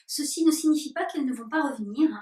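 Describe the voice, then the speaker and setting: Woman with a high voice, slow careful answers, lots of pauses, echoey room. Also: darkish tone. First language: French. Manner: slow and deliberate, many hesitations. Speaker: workshop leader. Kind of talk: reflective interview answers. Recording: roomy and distant.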